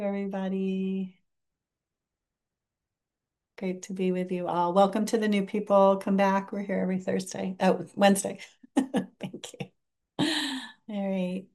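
A woman teaching a mindfulness class over a video call, leading a guided reflection in a gentle, unhurried way with frequent pauses. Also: mid-range voice, fairly even intonation, creaky. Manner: gentle, unhurried, pausing often.

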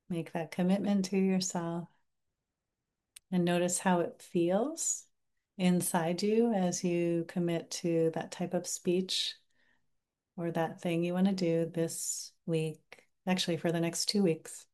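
Gentle, low-voiced woman teacher. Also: fairly even intonation. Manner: gentle, unhurried, pausing often. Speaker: mindfulness teacher. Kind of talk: guided reflection and online class wrap-up. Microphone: video call.

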